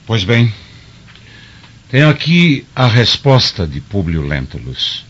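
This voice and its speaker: A man acting in a radio drama as a stern, proud Roman senator and father, with an authoritative, measured delivery. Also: on the low side, sing-song, fairly bright tone, breathy edge, exaggerated voice. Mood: solemn. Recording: dull lo-fi radio drama recording.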